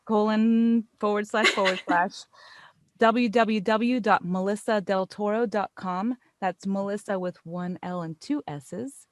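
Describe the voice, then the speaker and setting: A woman's voice: mid-range voice, a little slow. An American woman, a voice actor, chatting casually as a guest in a podcast interview. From United States.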